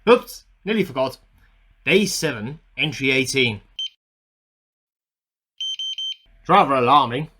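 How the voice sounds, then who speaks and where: A man's voice: mid-range voice, expressive, normal speed, sharp tone, husky. A man playing an eccentric amateur scientist who earnestly records a self-important secret diary in a radio play. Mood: puzzled, deflated. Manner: earnest, self-important, musing aloud in dated log entries.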